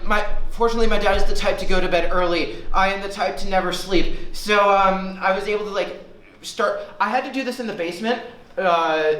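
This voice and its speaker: A man's voice: high-pitched, fairly bright tone, a little hiss or hum. A young man telling a rambling, self-deprecating stand-up story at a student open mic. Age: young adult. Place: student forum room. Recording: echoey room from far off.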